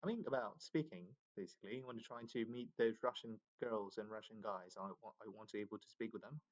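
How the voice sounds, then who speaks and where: Man, low muffled voice. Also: brisk. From Myanmar. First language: Burmese. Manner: talkative, many fillers. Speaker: English learner. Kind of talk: casual video chat.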